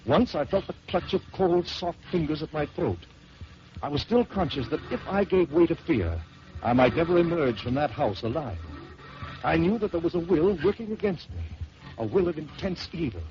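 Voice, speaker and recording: A man narrating a first-person horror story in dramatic old-time radio style, swelling and dropping to hushed tones. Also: fairly high pitch, animated, moderate pace, faint music behind. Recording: dull lo-fi old radio recording with hiss.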